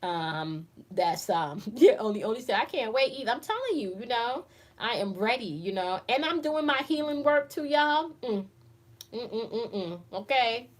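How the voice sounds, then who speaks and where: A woman's voice: a little high, animated, normal speed. A woman in her forties hosting a casual live chat, reading viewer comments aloud and answering with playful, laughing banter. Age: 40s. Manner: chatty and playful, laughs often, addresses viewers as y'all.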